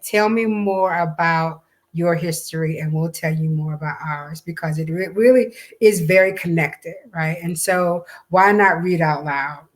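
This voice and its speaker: An American woman with a slightly low-pitched voice co-hosts an informal promotional chat. She talks in a conversational, emphatic way, often saying a phrase again to drive it home. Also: fairly bright tone. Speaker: co-host and event organizer.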